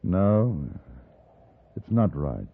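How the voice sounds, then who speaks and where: Calm english gentleman in an old 50s radio western, lowish voice, very proper and understated, muffled old recording. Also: exaggerated voice. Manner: measured, polite, understated. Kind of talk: 1950s radio drama acting and first-person narration. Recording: dull vintage 1950s radio recording, music behind.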